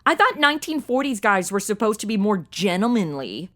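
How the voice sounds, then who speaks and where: An actress playing a sassy, excitable modern young woman in a comedy audio drama, blurting sarcastic remarks in casual speech. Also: medium pitch, fairly quick.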